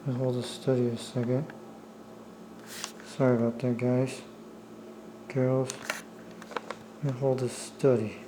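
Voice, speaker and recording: A man with a medium-pitched voice talks casually and without a script, like a hobbyist showing off his gear. His delivery is halting and apologetic as he talks himself through what he is doing. The sound is amateur camera audio recorded indoors, with faint noise. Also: level tone. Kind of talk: casual unscripted show-and-tell. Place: indoors at a table. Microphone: handheld camera's own microphone.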